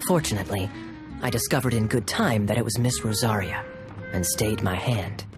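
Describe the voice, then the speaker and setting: An actor playing a suave young officer in a video game, smooth-talking and wry with theatrical charm. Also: male voice, on the low side, moderate pace, lightly acted. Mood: wry, teasing. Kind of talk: English video game voice acting. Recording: music playing behind the voice.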